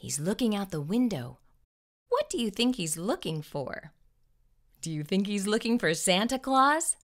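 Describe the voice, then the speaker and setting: A woman's voice: medium pitch, very animated, a little slow, a bit bright. A middle-aged woman hosting a children's storytime, reading aloud expressively with exaggerated emphasis and addressing the young listeners directly. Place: small furnished room indoors. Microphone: lapel microphone.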